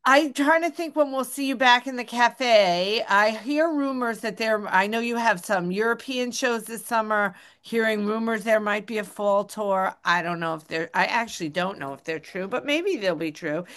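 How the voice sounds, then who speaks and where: A woman hosting an interview, chatty and quick with asides, making easy small talk with a guest. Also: a little high. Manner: chatty and warm, quick asides, laughs at her own word slips. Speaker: host. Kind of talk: casual interview chat.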